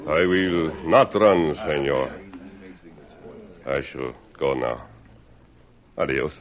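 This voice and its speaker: An actor playing a brave, stoic hero posing as a Mexican revolutionary, speaking formally in an old-time radio western. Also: male voice, a bass voice, slow. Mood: resigned, resolute. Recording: muffled, hissy old radio recording.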